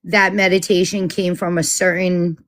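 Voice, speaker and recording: A woman's voice: lowish voice, flat delivery, moderate pace, a bit bright. Chatty Boston-accented woman. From Massachusetts. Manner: chatty, trailing restarts and fillers. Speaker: witch leading a spiritual group. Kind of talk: casual group video chat.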